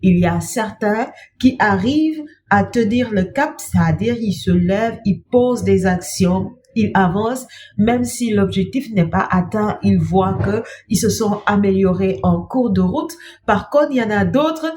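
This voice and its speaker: Slow-speaking woman with a cold. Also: mid-range voice, a little hiss or hum. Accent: French. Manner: slow, gentle, repeats "bien-aimé" and pauses with "euh". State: has a cold. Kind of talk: devotional talk to camera.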